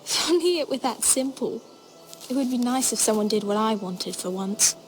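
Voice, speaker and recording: A woman's voice: a little high, animated, normal speed, faint music behind, natural voice, poor audio. An actress playing a caring, wistful young noblewoman, a protective older sister in a fantasy game drama. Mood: wistful, tender. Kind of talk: dramatic video game acting.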